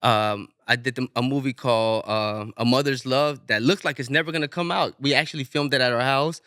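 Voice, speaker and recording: Podcast guest: man, bright crisp tone, dry studio sound, loose and casual. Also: medium pitch, a little fast, no echo. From Inglewood, California. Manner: relaxed, loose, swears freely, many restarts. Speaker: rapper guest.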